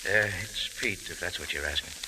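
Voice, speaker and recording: An actor playing a tough, wry American adventurer in old radio detective style, with a clipped, casual hard-boiled delivery. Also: male voice, low-pitched, moderate pace, background noise, lightly acted. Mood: evasive, low-key. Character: tough, wry American adventurer hero narrating his case. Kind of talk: 1940s-50s radio detective acting. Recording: old radio recording with music.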